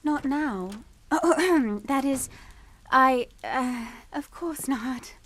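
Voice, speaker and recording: Fragile english daughter in radio drama, really high voice, slow n halting, nervous. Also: female voice, heavily acted. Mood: nervous, brittle. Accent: British. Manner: halting, timid. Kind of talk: radio suspense drama acting.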